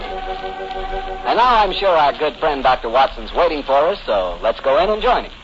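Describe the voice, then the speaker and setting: A man's voice: on the high side, very animated, normal speed, loud music behind the voice. An American man as a genial, folksy host and announcer, giving chummy scripted chat in 1940s radio style. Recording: muffled 1940s radio recording, faint noise.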